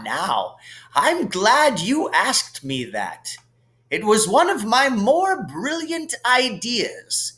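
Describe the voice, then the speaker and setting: A man voicing an elderly, genial, whimsical wizard headmaster who muses in a dreamy, unhurried way. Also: high voice, bright, forceful tone, pitched above the performer's own voice, exaggerated voice. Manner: unhurried, musing.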